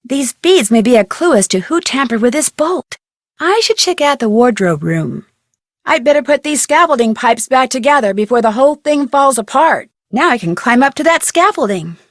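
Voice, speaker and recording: A young American woman playing a curious, chirpy amateur detective in an adventure game, thinking aloud with clear enunciation. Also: high voice, big pitch swings, normal speed, no room sound, studio quality. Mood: musing. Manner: clearly enunciated, inquisitive musing to herself.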